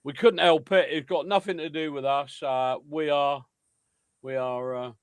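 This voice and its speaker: Male podcast co-host, high voice, dry delivery, close and dry sound. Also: fairly even intonation, measured pace, smooth, dry recording. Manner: dry, matter-of-fact, hesitant fillers. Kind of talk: casual football podcast chat.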